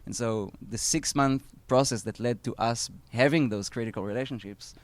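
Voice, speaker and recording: A man with an Israeli accent, a startup founder speaking at a live event, earnest and measured with pauses and restarts. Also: mid-range voice, dry recording.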